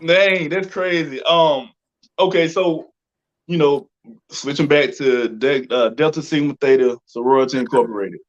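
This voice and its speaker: An American man with a fairly high voice hosts a casual interview. He talks informally with slang and repeated phrases, on slightly dull, lo-fi audio. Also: unhurried. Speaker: interview host.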